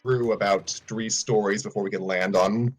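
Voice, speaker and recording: Low-voiced guy hosting a stream, rambly, keeps fixing his words, faint music under it. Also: steady tone, normal speed. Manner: rambling, self-correcting, chuckling asides. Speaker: host. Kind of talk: casual livestream chat.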